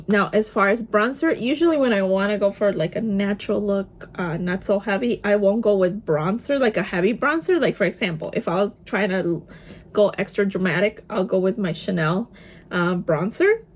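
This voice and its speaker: A young woman with a Spanish accent, a native Spanish speaker, chatting casually while doing her makeup and dropping in Spanish words. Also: medium pitch. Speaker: vlogger. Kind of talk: casual get-ready-with-me chat.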